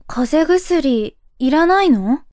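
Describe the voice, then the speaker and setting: A woman's voice: high voice, lively intonation, slow pace, a little mellow, no echo. A woman playing a blunt young shrine maiden girl who makes dry retorts in a comic anime voice drama. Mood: dry curiosity. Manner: dry, exasperated straight-man retorts.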